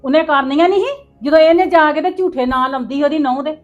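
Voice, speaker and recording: A woman's voice: high-pitched, quick pace, lo-fi sound, faint music behind, natural voice. An actress playing a worried middle-aged mother who lectures her daughter in a family drama, speaking in a reproachful way. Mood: scolding.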